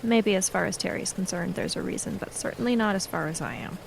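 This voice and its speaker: A woman's voice: mid-range voice, gravelly, slight background noise. A woman playing an anxious, hesitant young female thief. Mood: uneasy, glum. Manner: hesitant, halting.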